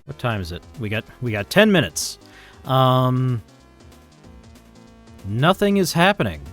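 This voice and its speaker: A man's voice: mid-range voice, big pitch swings, unhurried, fairly bright tone. Muttering man coding live, music behind. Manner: rambling, muttering to himself, self-deprecating. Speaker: streamer programmer. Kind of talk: casual live coding commentary, thinking aloud.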